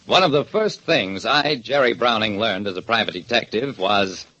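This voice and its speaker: An actor playing a private detective who narrates in a dry, deliberate way in a radio drama. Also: male voice, lowish voice, animated, sharp tone. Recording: dull lo-fi old radio broadcast.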